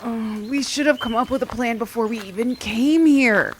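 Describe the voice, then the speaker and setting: A woman's voice: fairly high pitch, moderate pace, a little hiss or hum, close to an everyday voice. A woman playing a laid-back, skeptical friend on an adventure in an audio drama, with a casual, dry delivery. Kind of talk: scripted audio drama acting.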